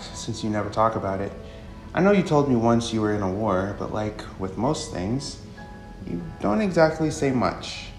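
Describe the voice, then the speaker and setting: A man voicing a friendly, plainspoken delivery woman in a visual novel, chatty and expressive. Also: medium pitch. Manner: expressive, animated. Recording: echoey room under music.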